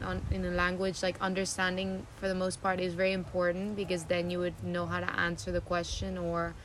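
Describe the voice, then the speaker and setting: A woman's voice: medium pitch, a little hiss or hum, poor audio. A woman co-hosting a casual student podcast, chatting and filling her talk with "like". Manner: filler-heavy, lots of "like". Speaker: podcast co-host.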